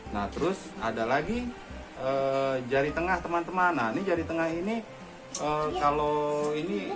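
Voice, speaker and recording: Dad spinning a kids' story, chatty, lots of uhs, a bit high voice, music behind. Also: male voice. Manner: chatty, halting, many fillers, addresses listeners as friends. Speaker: father telling a bedtime-style story to his children. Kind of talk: casual storytelling.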